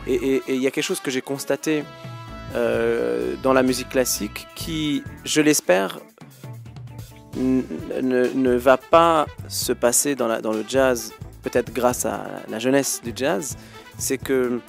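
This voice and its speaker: A man's voice: mid-range voice, fairly even intonation, unhurried, gravelly, faint music behind. A man in his thirties giving thoughtful interview answers as a guest musician, hesitating often with fillers and restarts.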